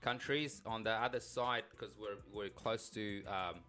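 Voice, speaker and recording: A man in his thirties from Afghanistan, a podcast co-host telling his life story in a halting, nervous way with many restarts. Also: lowish voice, fairly even intonation, sharp tone, soft background music. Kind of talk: casual storytelling chat.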